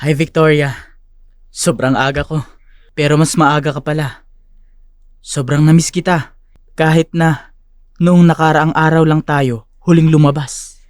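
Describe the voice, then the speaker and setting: A man's voice: a little high, level tone, unhurried, long pauses, clear, resonant tone, dry recording, clean studio sound, lightly acted. A man playing a friendly, smitten young corporate executive in an audio drama. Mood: affectionate.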